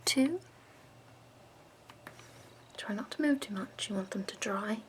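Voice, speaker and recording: A woman voicing a prim, chipper escort who does your makeup, speaking softly in a half-whisper with fussy, doting praise. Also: medium pitch, slight background noise, lightly acted. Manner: soft-spoken, half-whispered and slow. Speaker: ASMR roleplay performer. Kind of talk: soft-spoken ASMR roleplay.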